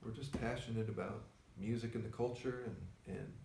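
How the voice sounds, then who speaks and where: A soft-spoken man co-hosting a casual chat in a record store, talking in a low-key, rambling way. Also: fairly even intonation, moderate pace, creaky, slight background noise. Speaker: record store owner co-host. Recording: echoey store, mic at a distance.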